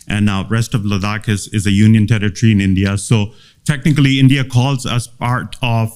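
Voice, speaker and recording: A man's voice: fairly low pitch, steady tone, a little fast, darkish tone, smooth. A man from Gilgit-Baltistan whose first language is Tibetan, giving an earnest seminar talk with frequent fillers and restarts. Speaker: seminar speaker. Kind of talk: impassioned public talk.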